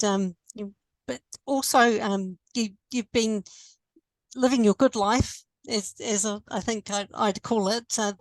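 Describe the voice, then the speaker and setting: A woman's voice: medium pitch, no room sound, rough recording. Hesitant New woman interviewer, dry sound. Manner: warm, hesitant, with many ums and restarts. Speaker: interviewer, former lecturer. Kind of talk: conversational interview.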